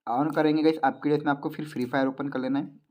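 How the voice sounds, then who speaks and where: A man's voice: fairly high pitch, steady tone, quick pace, a little mellow. A man with an Indian accent narrating a casual step-by-step phone tutorial as a video host, repetitively addressing his listeners. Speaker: YouTube tech tutorial host. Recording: dull lo-fi sound.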